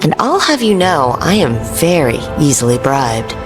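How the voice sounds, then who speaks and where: An actress playing a steady, supportive female adventurer in a video game, plain-spoken, reassuring and gently teasing. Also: sits low, normal speed, music in the background. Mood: teasing, tender.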